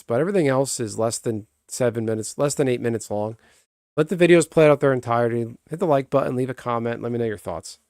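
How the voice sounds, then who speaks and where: A man with a calm, even voice chats casually with his viewers. He rambles, restarts his sentences and talks straight to the chat. His voice sits at a middle pitch, close to the mic in a dry, dead room. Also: no room sound. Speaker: streamer. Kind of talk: casual live-stream chat.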